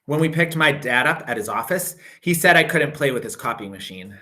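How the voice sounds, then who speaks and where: A man, a teacher, reading a children's picture book aloud with expressive, drawn-out emphasis on repeated phrases. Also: medium pitch, a little hiss or hum.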